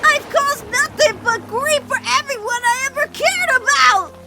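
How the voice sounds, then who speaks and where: A woman's voice: very high-pitched, a little slow, background noise. A woman voicing a guilt-ridden fourteen-year-old girl in an emotional cartoon fan dub, blaming herself with dramatic intensity. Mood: anguished outburst. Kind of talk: animated-series fan dub drama acting.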